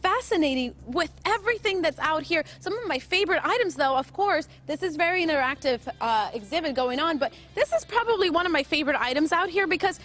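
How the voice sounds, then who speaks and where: A woman's voice: high-pitched, expressive, a little fast, hardly any pauses, fairly bright tone. A young woman reporting live for morning TV with a handheld mic in a big museum hall, bubbly and chatty. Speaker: field reporter. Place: large indoor museum hall.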